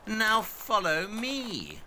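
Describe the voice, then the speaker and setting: An actor playing a brilliant, impatient male detective with a British accent, speaking in a brisk, condescending way in an audio drama. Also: sits high, huge pitch swings, bright, forceful tone, a little hiss or hum, exaggerated voice. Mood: cool.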